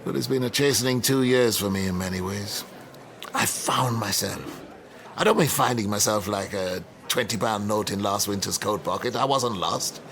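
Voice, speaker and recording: An actor playing a reflective older academic mentor in a radio drama, eloquent, measured and wry. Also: male voice, fairly low pitch, fairly bright tone. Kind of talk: naturalistic radio drama dialogue.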